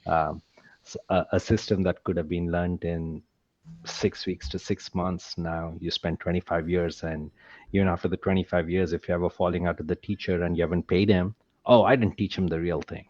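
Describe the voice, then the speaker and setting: A man's voice: sits low, level tone, moderate pace, a little mellow, no room sound, muffled recording. A man speaking as a guest author, explaining with dry humor. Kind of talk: casual discussion.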